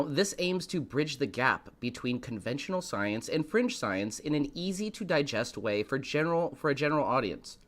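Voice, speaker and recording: A man hosting a live stream, a little hoarse, greeting chat and building up the evening with showy, dramatic lines. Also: mid-range voice, a bit bright. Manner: showy, dramatic build-ups addressed to viewers. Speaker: host of a live stream show.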